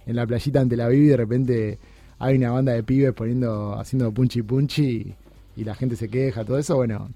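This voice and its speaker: Un hombre uruguayo de treinta y tantos, invitado en una radio, habla con muchas pausas, titubeos y repeticiones. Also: male voice, mid-range voice, mellow, no echo. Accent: Uruguayan Spanish. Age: 30s. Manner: halting, many restarts and fillers. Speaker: guest. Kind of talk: casual interview chat. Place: radio studio.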